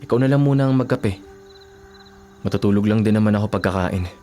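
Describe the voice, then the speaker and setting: A man acting in a radio drama as a devoted, self-sacrificing young boyfriend and son, gentle and reassuring, with a Filipino accent. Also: on the low side, fairly quick, faint music behind, natural voice. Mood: tender, reassuring. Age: young adult.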